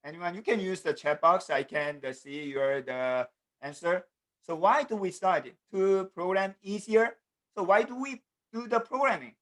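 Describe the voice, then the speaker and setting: A middle-aged man from South Korea, Korean by native language, teaching an online programming class in English with a Korean accent. He talks in halting bits, with many fillers and an often repeated "the". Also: sits high, a bit bright. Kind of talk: online class lecture over video call. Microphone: computer mic on a video call.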